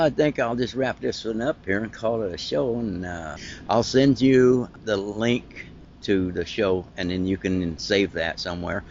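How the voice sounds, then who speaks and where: A man with an Ohio accent hosting a podcast interview over an internet call, talking in a rambling, halting, friendly way. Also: on the low side, a little mellow, lo-fi sound, a little hiss or hum. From Ohio, United States. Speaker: podcast host. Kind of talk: casual interview chat.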